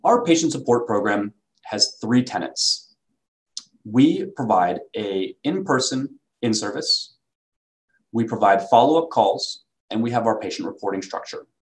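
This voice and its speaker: A man with a fairly low voice presents on behalf of a medical device company. He talks through slides in a sales presentation style, speaking from an indoor video call setup. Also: lively intonation, unhurried, low-quality recording.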